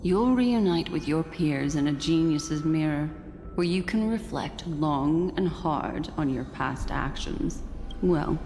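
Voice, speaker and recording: Slow, composed, enigmatic investigator. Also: female voice, sits low, expressive, mellow, full character voice. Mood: calm, solemn. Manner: measured, solemn, formal. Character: composed, enigmatic female investigator, coolly judging. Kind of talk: dramatic video game acting. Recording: reverberant hall, music behind.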